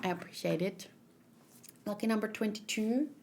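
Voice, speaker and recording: A woman's voice: mid-range voice, moderate pace, a little mellow. A woman streamer doing a live read-along, mixing reading aloud with casual commentary.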